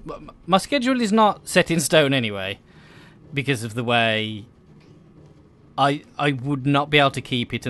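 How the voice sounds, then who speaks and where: A young man hosts a gaming video in a casual, unhurried way. His voice sits at an average pitch, and he meanders through his thoughts with many hesitations, often cutting himself off mid-sentence. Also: a bit bright. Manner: hesitant, meandering, self-interrupting, chuckly. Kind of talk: casual rambling gameplay commentary.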